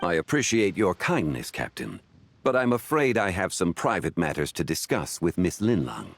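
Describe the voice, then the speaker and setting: Learned gentleman connoisseur, very low voice, expressive intonation, courteous, measured, game dialogue, background music. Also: slight background noise. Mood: composed, courteous. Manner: formal, measured, unhurried phrasing. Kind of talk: scripted video game dialogue acting.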